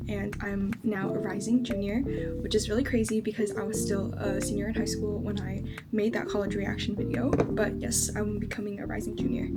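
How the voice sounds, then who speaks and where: A woman in her twenties who grew up in China speaking Chinese first, chatting casually through a makeup routine with lots of likes and ums. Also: mid-range voice. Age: 20s. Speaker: beauty vlogger. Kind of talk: casual makeup vlog chat. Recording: background music under voice.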